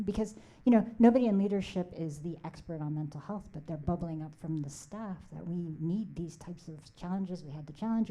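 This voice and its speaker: Earnest woman panelist, dark-toned voice. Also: lowish voice, rough recording. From Philippines. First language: Tagalog. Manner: earnest, encouraging, speaks in quick bursts with many "like"s. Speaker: conference panelist. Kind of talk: conference panel discussion.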